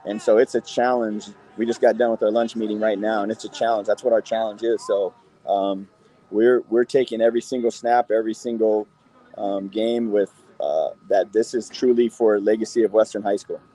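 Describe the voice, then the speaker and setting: Mellow, lowish-voiced American football coach, male. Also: fairly even intonation, moderate pace. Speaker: high school football coach. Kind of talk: conversational interview answers.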